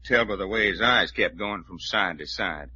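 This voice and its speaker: A man's voice: deep voice, normal speed, pushed and bright, a little hiss or hum. An actor with an American Southern accent playing a folksy, fearless cowboy-like tough guy, blunt and taunting, in old radio drama style. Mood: folksy lecturing. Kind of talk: 1940s radio acting. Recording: dull old radio recording.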